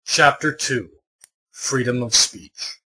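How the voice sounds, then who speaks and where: A man narrating a scripted comic story with a husky voice. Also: medium pitch, takes it slowly, pushed and bright, low-quality recording.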